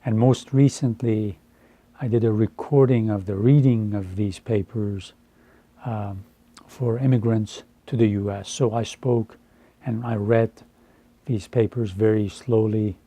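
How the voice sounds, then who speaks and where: A man in his fifties from Iran, a Farsi speaker, speaking deliberately and earnestly to camera with frequent hesitations. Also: lowish voice, steady tone, dark tone, no echo. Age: 50s. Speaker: translator. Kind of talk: earnest personal address to camera.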